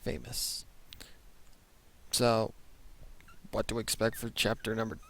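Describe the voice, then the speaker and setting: A man hosting a reaction video with casual, off-the-cuff commentary, haltingly reworking his phrases as he goes. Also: fairly low pitch, creaky, a bit bright.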